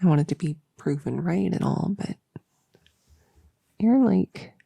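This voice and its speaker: A woman playing a nervous, lonely cultist who summons demons, in an intimate sleep-aid roleplay, stammering and rambling softly with breathy edges into a close microphone. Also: fairly low pitch, lively intonation, no echo, exaggerated voice. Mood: tender, shy. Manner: flustered, halting, stammering, rambling. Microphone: close, dry microphone.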